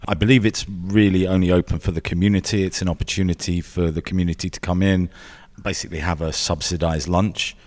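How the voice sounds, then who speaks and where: A man's voice: low voice, flat delivery, fairly quick, darkish tone. A man co-hosting a travel podcast, speaking in a conversational, curious way. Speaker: podcast co-host.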